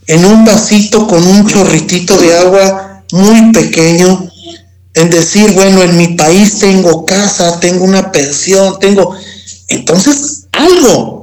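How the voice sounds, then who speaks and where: Slow, halting Mexican man. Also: high-pitched, a little mellow, poor audio. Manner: slow, halting, repeats words. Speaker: interview guest. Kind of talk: personal testimony.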